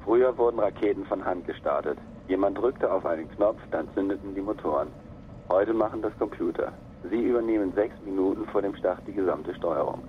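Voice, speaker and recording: A man's voice: sits low, a little fast. A man giving a plain, explanatory documentary account. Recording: tinny phone-like band, faint noise.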